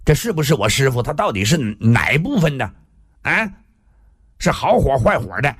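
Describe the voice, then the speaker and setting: A male storyteller voices a blunt martial-arts man who is easily bewildered. He questions gruffly in plain folksy words, with a husky tone and lively swings of pitch. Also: moderate pace. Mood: suspicious, confused.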